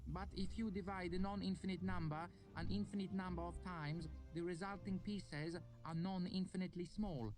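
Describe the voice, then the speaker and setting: A man's voice: high-pitched, steady tone, normal speed, few pauses, pitched above the performer's own voice, lightly acted. A man voicing a young male student with an odd foreign accent who explains his point precisely and logically. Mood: earnest. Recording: music playing under the voice.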